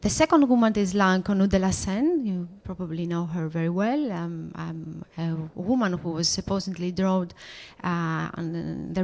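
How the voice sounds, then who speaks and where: A woman introducing an artist at an exhibition in formal, deliberate, halting phrases. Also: on the low side, vocal fry, darkish tone. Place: exhibition room.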